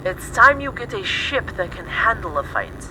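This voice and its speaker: A woman's voice: medium pitch, sing-song, moderate pace, close to an everyday voice. Woman doing a bossy caring mechanic friend in a radio drama, swingy voice, noisy backdrop. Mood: firm, caring. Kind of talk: audio drama dialogue over a call. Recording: clear vehicle and street noise behind.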